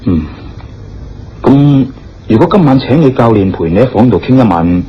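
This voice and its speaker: Concerned, tactful gentleman, muffled radio sound. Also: mid-range voice, animated, moderate pace, slightly rough. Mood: probing, reassuring. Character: concerned, tactful gentleman probing and reassuring a stranger. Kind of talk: radio drama acting. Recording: dull lo-fi radio drama, music behind.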